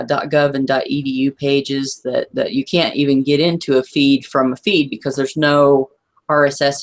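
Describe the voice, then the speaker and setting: A hoarse woman presenting in a webinar, walking listeners through a chart and checking that they follow. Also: low-pitched. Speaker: guest presenter.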